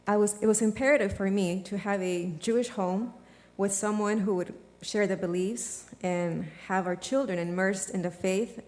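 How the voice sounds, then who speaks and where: A woman's voice: mid-range voice, a little mellow. A woman giving a prepared, earnest testimony at a service in a synagogue sanctuary. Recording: long hall reverb.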